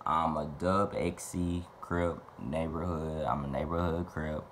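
A young man from Arkansas talks to his camera in a small bedroom, loose, rambling and profane. His voice sits very low yet comes across bright and forceful. A faint hiss of background noise sits under the close webcam sound. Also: fairly even intonation, measured pace. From Little Rock, Arkansas. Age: 20s. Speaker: vlogger answering fan questions. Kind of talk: casual Q&A monologue to camera.

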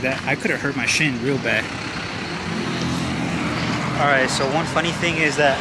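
A young man from Los Angeles talking casually to the camera while riding a bike, rambling with restarts and a little out of breath. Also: mid-range voice. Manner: rambling, many restarts and fillers; riding a bike one-handed, short of breath. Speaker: travel vlogger. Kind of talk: casual vlog narration while cycling. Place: city streets outdoors. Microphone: camera held at arm's length. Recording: loud street and traffic noise.

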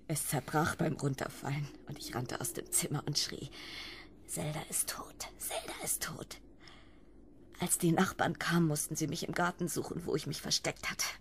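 A woman acting a haunted wife in a radio drama, speaking in a breathy, halting half-whisper. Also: deep voice, exaggerated voice. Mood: anguished. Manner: hushed, halting delivery. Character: haunted wife confessing a guilty childhood trauma.

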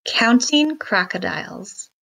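A woman reading a children's picture book aloud in a deliberate, expressive storytelling manner. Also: on the high side, gravelly, low-quality recording. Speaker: read-aloud narrator.

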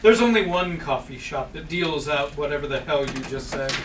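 A man's voice: fairly high pitch, animated, moderate pace, lightly acted. A man playing a pompous, dim-witted martial-arts master in a sitcom table read. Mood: blustering. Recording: distant camera mic, clearly noisy room.

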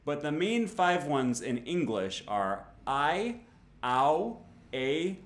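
A man in his thirties, a language teacher, explaining phonetics clearly and patiently to camera in a small home room. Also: a little high, very animated, slow pace, roomy sound.

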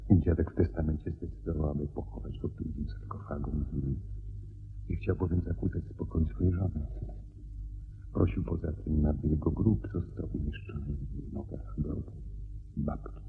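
A polite, well-bred gentleman of radio drama, telling his account soberly and deliberately. The voice is very deep and gravelly. It comes through muffled, with faint noise behind it. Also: fairly even intonation. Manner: formal, polite, deliberate storytelling. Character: well-bred gentleman client soberly recounting a grim mystery. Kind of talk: radio play acting.

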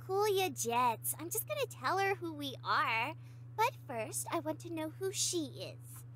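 A bubbly, affectionate young spirit girl from a visual novel game. Her voice is extremely high and very animated, folksy and eager. She takes her time with words, over faint noise. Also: measured pace, full character voice. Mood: cheeky. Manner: folksy, chirpy.

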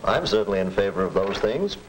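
An actor playing an agreeable, reasonable middle-aged American father in a 1940s educational film, with deliberate, formal phrasing. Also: low voice, very animated, low-quality recording. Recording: hissy 1940s film soundtrack.

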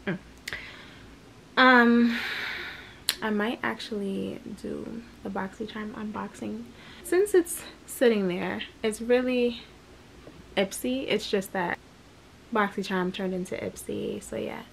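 A woman's voice: mid-range voice, slow pace, creaky, long pauses, a little hiss or hum, poor audio. A young woman in her twenties talking candidly to the camera in a small bedroom, rambling casually with plenty of fillers. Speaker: vlogger. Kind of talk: casual vlog talk to camera. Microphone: camera close at arm's length.

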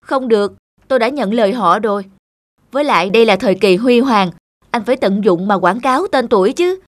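A woman voicing a bossy, stout middle-aged male manager who gives orders and scolds briskly. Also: a little high, expressive, natural voice. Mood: firm.

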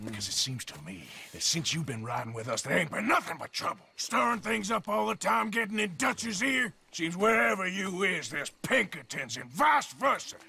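An actor playing a weary, skeptical outlaw who talks bluntly and gruffly. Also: male voice, high-pitched, big pitch swings, moderate pace, hardly any pauses, sharp tone, full character voice. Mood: angry. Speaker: outlaw gang member.

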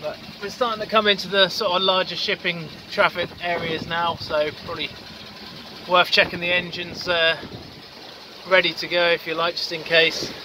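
A tired man in his thirties talks into a handheld camera on the open deck of a small sailboat. He speaks in a matter-of-fact, halting way, with a slightly high voice. Wind and water noise are clearly heard behind him. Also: flat delivery. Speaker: solo sailor vlogger. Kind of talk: casual video diary. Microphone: camera mic at arm's length. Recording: windy outdoor camera audio at sea.

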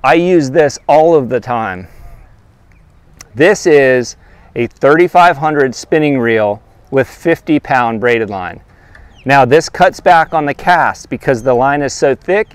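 A man in his thirties, a fishing guide, showing his gear outdoors by a lake and talking straight to the camera in a relaxed, practical way. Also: on the high side, clean tone, no echo. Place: outdoors by a lakeshore. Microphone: lapel mic.

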